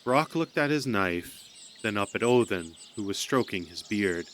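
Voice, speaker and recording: A man's voice: fairly low pitch, expressive, measured pace, fairly bright tone. A man narrating a scripted story in a dramatic storytelling style. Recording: amateur recording with background noise.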